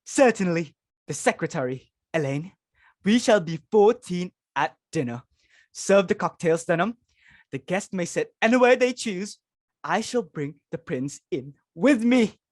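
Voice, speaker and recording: A woman's voice: fairly low pitch, lively intonation, unhurried, a little mellow, dry recording, clean studio sound. A woman playing a flustered, imperious middle-aged society hostess and mother in a stage comedy reading, dramatic and exclamatory, repeating herself for emphasis. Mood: grand, satisfied.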